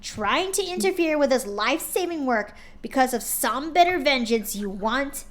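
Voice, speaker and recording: A woman's voice: high-pitched, animated, normal speed, voice pushed up. A woman voicing a hostile, bitter female pegasus nurse who talks in a spiteful, growling way.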